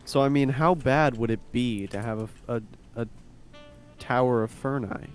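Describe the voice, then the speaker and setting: A man's voice: medium pitch, moderate pace, soft background music. A young man co-hosting a casual gaming chat, dry and teasing. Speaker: podcast co-host.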